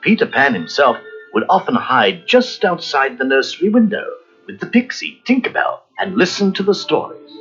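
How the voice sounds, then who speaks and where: Highly animated, high-pitched male storybook narrator. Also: normal speed, slightly rough. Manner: dramatic, expressive storytelling. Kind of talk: scripted children's storybook narration. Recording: muffled cassette with music behind.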